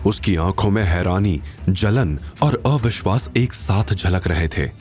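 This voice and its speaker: Deep, expressive male drama narrator. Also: a little slow, faint music behind. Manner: expressive, suspenseful delivery. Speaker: narrator of an audio drama series. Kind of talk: dramatic scripted story narration.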